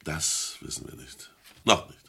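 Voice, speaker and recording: An actor playing a formal, discreet middle-aged auction-house partner in a German radio crime play. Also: male voice, unhurried, pushed and bright. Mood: grave, confiding. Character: courteous, measured male London auction-house partner.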